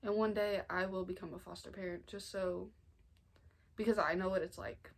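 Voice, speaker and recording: A young American woman of about nineteen, talking informally and earnestly in a halting way, as in a personal storytime vlog. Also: medium pitch, steady tone, vocal fry. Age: 19, nearly 20. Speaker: family vlogger. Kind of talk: personal storytime vlog, casual confessional talk.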